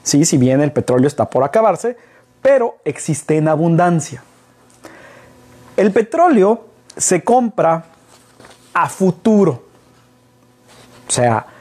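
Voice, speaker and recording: A man's voice: a little high, warm tone. A middle-aged Mexican man, a business consultant, explaining things to camera from an armchair in a living room, asking rhetorical questions as he goes. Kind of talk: casual business talk to camera. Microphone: far camera.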